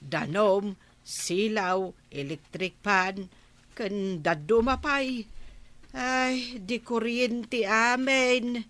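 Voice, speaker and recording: Nagging village housewife in a radio drama, sharp bright voice, slow talker. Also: female voice, on the high side, fairly even intonation. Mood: fretful. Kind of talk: Filipino radio drama acting.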